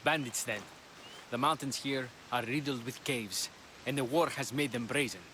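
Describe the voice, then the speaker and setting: A man's voice: medium pitch, normal speed, sharp tone, slight background noise, close to an everyday voice. A man playing a dutiful, unyielding Spartan soldier in a video game, speaking in a formal, matter-of-fact way. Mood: stern, dismissive. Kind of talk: video game cutscene acting.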